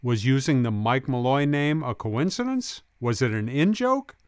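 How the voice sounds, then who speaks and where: A man's voice: medium pitch, expressive, normal speed, a little mellow, clear, resonant tone, dry recording, clean studio sound. A man reading scripted documentary narration in an informal, folksy way. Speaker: video essayist, narrator. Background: music bed with guitar.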